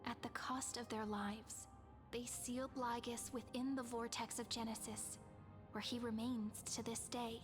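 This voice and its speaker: A woman voicing a wistful, earnest young storyteller in a video game, measured and tender. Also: a little high, flat delivery, lightly acted. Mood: solemn. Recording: music over the voice.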